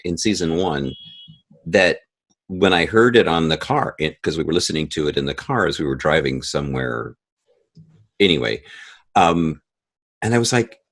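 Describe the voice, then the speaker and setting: A man speaking as a guest composer and sound editor in a conversational podcast interview, animatedly acting out quoted reactions. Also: low-pitched.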